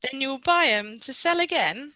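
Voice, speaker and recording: A woman plays a quick-witted young son in a radio drama. The voice is bright, dry and animated, full of cheek. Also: high voice, no echo. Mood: cheeky, defiant. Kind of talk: amateur Shakespearean radio play.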